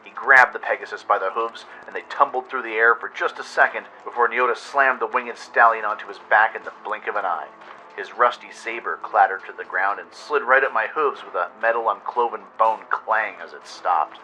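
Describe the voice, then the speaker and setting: Guy narrating a radio adventure, lowish very sharp forceful voice, music underneath. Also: a little fast, low-quality recording. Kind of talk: dramatic scripted story narration.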